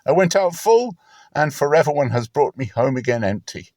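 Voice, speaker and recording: Man voicing a bitter, grieving older widow, animated. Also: a little high, moderate pace, no room sound, clean studio sound, pitched above the performer's own voice, lightly acted. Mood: bitter lament. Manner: lamenting, dramatic.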